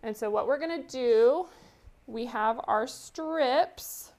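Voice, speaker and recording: Woman, fairly high voice, chatty quilting teacher, step-by-step explanations. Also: slow, a little mellow. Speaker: quilting tutorial host. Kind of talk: live sewing demonstration.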